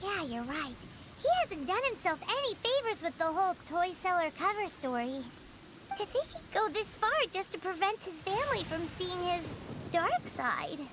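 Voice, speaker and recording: A tiny female fairy sidekick from an anime game. The voice is extremely high and swoops about with childlike curiosity. Clear background game noise sits behind it. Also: big pitch swings, normal speed. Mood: thoughtful. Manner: refers to itself in the third person. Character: small chirpy childlike female fairy companion, chatty and curious. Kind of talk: anime video game character acting.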